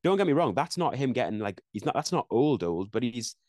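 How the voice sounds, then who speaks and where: A young English man co-hosting a casual football podcast, talking quickly and thinking aloud with frequent restarts. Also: medium pitch, big pitch swings, darkish tone, no room sound, studio quality. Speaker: podcast co-host.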